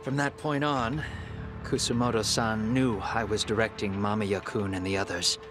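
An actor playing a grave, calculating middle-aged man in a video game drama, speaking in a measured, deliberate way. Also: lowish voice, fairly even intonation, music in the background, natural voice. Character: composed middle-aged male fugitive avenger, calculating and grave.

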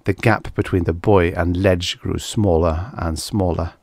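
A man reading literary science fiction as an audiobook narrator, with measured, expressive delivery and deliberate phrasing. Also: low-pitched, no room sound, studio quality.

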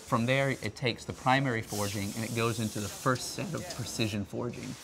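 A man's voice: medium pitch, steady tone, brisk, background noise. A man in his thirties giving a conversational, explanatory promotional talk as a company presenter. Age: 30s. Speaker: company R&D representative, factory tour presenter. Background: background music.

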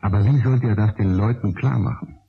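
An actor as the storyteller of a children's radio play, narrating. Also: male voice, on the low side, fairly quick, vocal fry, breathy edge, exaggerated voice. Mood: storytelling. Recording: phone-like, narrow old radio recording.

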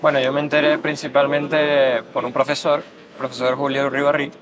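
A man in his twenties from Venezuela, a university student answering interview questions in a measured way. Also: mid-range voice, level tone, a crowd in the background. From born in Caracas, raised in Zulia, Venezuela. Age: 20s. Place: bright room at a dining table. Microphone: lapel mic.

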